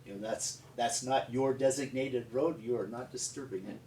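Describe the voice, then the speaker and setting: An American man telling stories in an unscripted, conversational way, with a rambling, halting delivery. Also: mid-range voice, mellow. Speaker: paleontologist and museum founder telling stories. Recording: echoey, distant mic with background noise.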